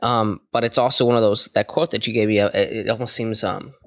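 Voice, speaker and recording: A man's voice: lowish voice, fairly even intonation, brisk, no room sound, clean studio sound. A man interviewing a guest in casual chat, feeling his way through questions with digressions and tentative phrasing. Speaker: podcast host, interviewer.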